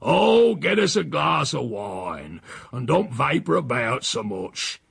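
A gruff, stubborn old countryman barks his demands in broad rural speech, as a man puts him on. The voice is gravelly and husky, with big swings in pitch, and it is recorded close and dry. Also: sing-song, slow pace, no echo, heavily acted. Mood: grumbling. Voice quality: rough rural dialect speech. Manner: loud, grumbling, demanding.

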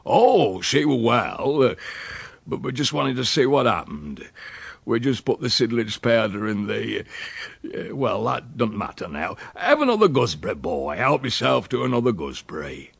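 A man voicing a wicked, droll old country uncle with a rural English accent, gruff and fruity, spinning tall tales in a storybook reading. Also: mid-range voice, big pitch swings, dry recording, heavily acted. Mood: sly. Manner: sly, drawn-out dialect storytelling.

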